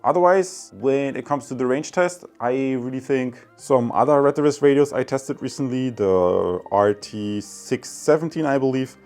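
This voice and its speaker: A man's voice: medium pitch, normal speed, hardly any pauses, darkish tone, faint music behind. A man giving a casual spoken product review, addressing viewers directly and often repeating his phrases. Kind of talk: casual product review and radio range test commentary.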